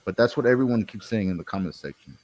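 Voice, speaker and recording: A man co-hosting a livestream in an informal, halting way, chatting casually and reading out comments. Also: lowish voice, expressive, darkish tone, rough recording. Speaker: co-host.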